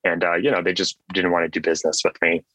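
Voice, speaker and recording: A man with a very low voice and a bright, forceful edge talks casually as an interview guest. He is chatty and self-deprecating, often checking in with "right?", and the sound is close and dry. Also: little pitch movement, fast talker, no echo. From Switzerland. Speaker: podcast guest, solo business owner.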